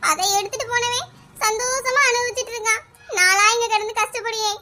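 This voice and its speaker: A woman's voice: very high-pitched, steady tone, pushed and bright. A woman playing a distraught village wife in a comic cartoon, lamenting melodramatically in a southern Tamil Nadu accent. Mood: despairing lament. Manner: wailing, drawn-out lamenting.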